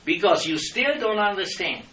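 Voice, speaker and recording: Middle-aged Czech-accented man, impassioned and lecturing, slightly high, recorded far off in an echoey room. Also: animated, moderate pace, sharp tone. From Czech Republic. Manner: impassioned, lecturing, non-native grammar. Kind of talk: heated argument with officials. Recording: echoey room, mic at a distance.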